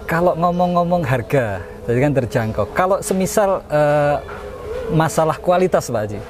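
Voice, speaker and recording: A man's voice: a little high, lively intonation, moderate pace, noisy background. A man interviewing someone in a casual promotional style, often echoing and confirming what he is told. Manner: echoes and confirms the guest's answers.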